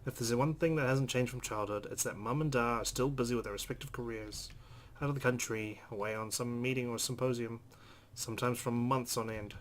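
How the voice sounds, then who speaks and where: A man's voice: medium pitch, steady tone, a little fast. A man hosting a let's play, reading visual novel narration aloud with brief asides. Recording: clear background noise.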